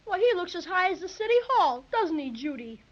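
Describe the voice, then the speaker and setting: A woman's voice: very high voice, very animated, brisk. A woman playing an eager, plucky young boy in an old radio drama, full of folksy exclamations. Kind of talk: 1930s radio drama acting. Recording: dull old radio recording with faint hiss.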